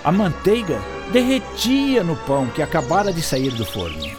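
A man narrating a scripted children's story in an expressive storytelling style. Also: a little high, normal speed. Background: music.

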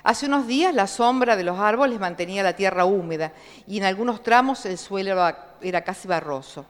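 A woman with a Córdoba accent reads a short story to a live audience, drawing her phrases out slowly and expressively. Her voice sits at an ordinary middle pitch. It rings with the long reverb of a large hall. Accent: Argentine, Córdoba. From Córdoba province, Argentina. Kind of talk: live reading at a book launch.

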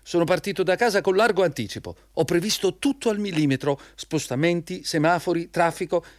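A man's voice: sits high, fairly quick, no echo, clean studio sound, close to an everyday voice. An actor playing a nervous, punctilious male job applicant in a radio drama, earnest and deferential. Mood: anxious, justifying. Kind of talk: Italian radio drama acting.

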